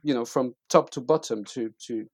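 A middle-aged man with a French accent telling personal stories in casual conversation, haltingly, often repeating words as he searches for them. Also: mid-range voice, breathy edge, no room sound, clean studio sound. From eastern France. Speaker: interview guest.

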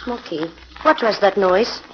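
Brief: a woman doing an imperious villainous countess, mid-pitched, on muffled old radio. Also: lively intonation, unhurried, heavy background noise, lightly acted. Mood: scheming. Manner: imperious, scheming, turns panicky. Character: scheming foreign female countess, gangster's moll. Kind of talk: 1940s radio acting. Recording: muffled 1940s radio recording.

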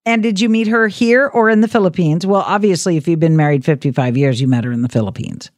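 A woman hosting a call-in radio show, chatting gently and playfully with a caller. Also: on the low side, fast, dry recording, clean studio sound. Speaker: radio host. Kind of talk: late-night radio call-in chat. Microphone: studio mic.

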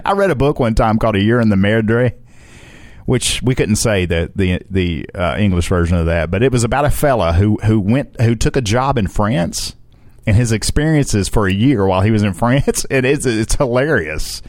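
A man chatting casually as a podcast co-host, jokey and quick to chuckle. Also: lowish voice, no room sound, clean studio sound. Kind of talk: casual chat and banter.

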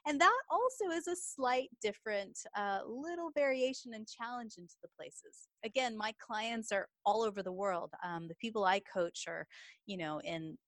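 A woman's voice: fairly high pitch, expressive, normal speed, fairly bright tone, no room sound. This is a woman in her forties with a Texan accent, talking in a chatty, upbeat way and full of folksy phrases. She is a business coach, speaking as a guest on an interview. Her voice sounds close and dry, with no room sound around it. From Texas. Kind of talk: casual interview chat.

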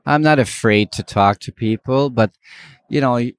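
A man from Montreal chatting casually and candidly, halting as he searches for words, with a jokey, self-deprecating manner. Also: mid-range voice, no echo. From Montreal, Canada. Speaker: member of the public being interviewed.

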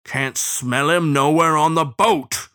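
A man voicing a grim, relentless bloodhound lawman in a storybook, with a sepulchral baying voice and a slow folksy drawl. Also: on the high side, bright, forceful tone, pitched above the performer's own voice. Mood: stubborn, grave.

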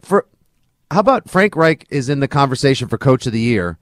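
A man's voice: mid-range voice, big pitch swings, brisk, no echo, studio quality. A man co-hosting a sports podcast, chatting casually about football in a colloquial, emphatic way. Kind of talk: casual football analysis chat.

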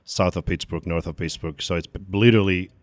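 Deep-voiced Greek man, halting speech. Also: no echo. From Greece. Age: 40s. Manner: halting, restarts sentences, trails off quietly. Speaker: guest photographer. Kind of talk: casual interview talk.

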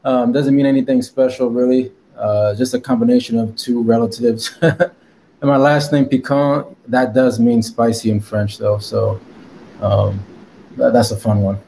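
Man from connecticut, guest, loose rambling talk, mellow voice, faint background noise. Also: medium pitch, fairly even intonation, moderate pace, gravelly. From Connecticut, USA. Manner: rambling with many fillers and laughs. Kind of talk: casual interview chat.

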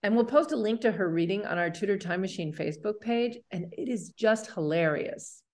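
A woman's voice: mid-range voice, lively intonation, normal speed, darkish tone. A woman co-hosting a podcast in an upbeat style of presenting and chat. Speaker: podcast co-host.

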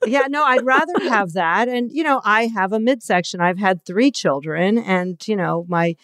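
A woman's voice: medium pitch, normal speed, fairly bright tone, no echo, studio quality. A woman hosting a podcast interview who chats openly and jokes about herself. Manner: joking, open, chatty. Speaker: podcast host. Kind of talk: casual interview chat.

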